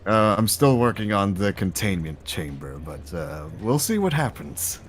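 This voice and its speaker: A man playing a theatrical masked jackal villain, co-hosting a livestream in wry, halting banter. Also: lowish voice, big pitch swings. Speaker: livestream co-host. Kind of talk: in-character improvised livestream banter. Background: background music.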